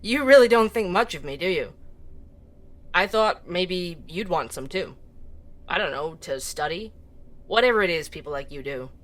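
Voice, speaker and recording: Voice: medium pitch, expressive, moderate pace, pauses often, close to an everyday voice. A performer playing a sardonic, irreverent investigative podcast host in an audio drama, talking in a casual, teasing, conversational way.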